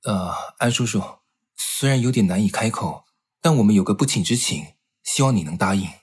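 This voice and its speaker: An actor playing a composed, considerate young man in an audio drama, measured and polite. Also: fairly low pitch, expressive, breathy edge. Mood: courteous, sympathetic. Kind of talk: Mandarin audio drama acting.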